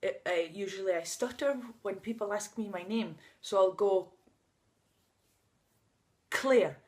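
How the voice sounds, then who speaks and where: A woman's voice: mid-range voice, a little slow, a little mellow. A woman in her thirties with a stutter who blocks on first sounds, talking openly and emotionally in a personal vlog. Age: 30s. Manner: unscripted, emotional, laughing at times. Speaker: vlogger. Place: outdoors on a sunny day.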